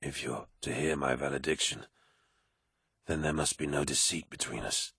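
A man voicing a dying ancient male warlord who speaks in a rasping, wheezing voice. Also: very deep voice, steady tone, moderate pace, bright, forceful tone, no echo, clean studio sound, voice pushed down, full character voice. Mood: weary, grave.

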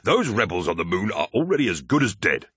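A man's voice: on the low side, very animated, fairly quick, a bit bright, husky, no room sound, studio quality, full character voice. Man voicing a hearty, teasing soldier. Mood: confident. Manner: sniggering, mocking banter. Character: jovial, teasing male soldier, hearty brother-in-arms.

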